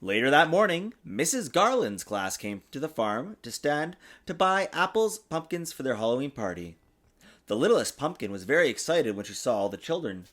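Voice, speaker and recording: A man reading a children's storybook aloud in an expressive, sing-song way, as a father does for his young child. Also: mid-range voice, normal speed. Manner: patiently answers child's interruptions. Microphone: desktop USB microphone.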